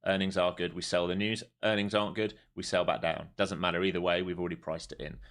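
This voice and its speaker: Man, forties, very low voice, casual blunt livestream chat about trading. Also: little pitch movement, fast talker, a bit bright. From Europe. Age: 40s. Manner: casual, chatty, blunt, swears freely. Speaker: livestream day trader. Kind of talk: live market commentary and chat.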